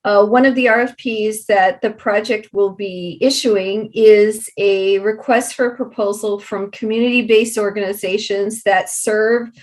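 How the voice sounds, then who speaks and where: A woman giving unscripted interview answers as a guest, explaining programs in a halting, deliberate way. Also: medium pitch, fairly even intonation.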